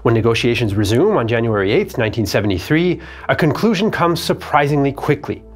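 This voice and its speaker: A man's voice: medium pitch, very animated, brisk, faint music behind. A man in his thirties, host of a history channel, delivering scripted documentary narration in a studio. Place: dark studio set.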